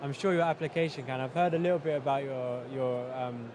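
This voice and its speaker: A man interviewing guests casually at a trade event, halting and repeating his phrases as he goes. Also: medium pitch, fairly even intonation. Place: busy exhibition hall. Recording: loud trade show floor noise.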